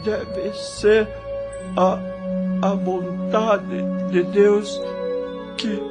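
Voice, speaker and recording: Audio drama: dying young male musician, played by a man, resigned, gasping, high-voiced, very slow, music underneath. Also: little pitch movement. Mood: pained resignation. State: weak, faltering breath. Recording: music behind the voice.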